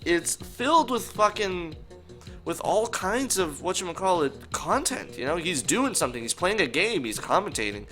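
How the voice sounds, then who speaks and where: A man's voice: high voice, sing-song, moderate pace, bright, forceful tone, faint music behind. A young man co-hosting a casual chat, joking, swearing and slipping into mock-dramatic bits. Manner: profane, jokey, mock-dramatic outbursts. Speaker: podcast co-host.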